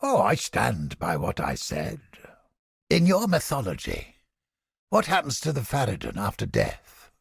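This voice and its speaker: A man playing a cynical, sardonic, smugly godless inquisitor scholar in a video game, with a gravelly, husky voice and deliberate, formal, theatrical delivery. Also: on the low side, wildly swooping pitch, dry recording, studio quality, heavily acted. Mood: dry, probing. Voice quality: gravelly, husky, breathy edge.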